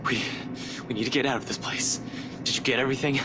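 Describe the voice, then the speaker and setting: A man's voice: mid-range voice, fairly even intonation, fast talker, noisy background, natural voice. A man voicing a jittery, stammering, sardonic young investigator in a visual novel game. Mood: shaken. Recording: music behind the voice.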